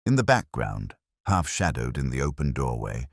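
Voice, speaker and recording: A man reading a scripted mystery story as narrator, in a measured, literary way with dry understatement. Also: very deep voice, sing-song, vocal fry, dry recording. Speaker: audiobook narrator.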